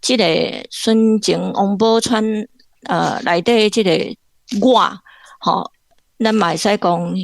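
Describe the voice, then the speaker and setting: A woman's voice: medium pitch, slow, vocal fry, dry recording. A woman with a Taiwanese accent giving informal literary commentary as a guest professor, explaining point by point.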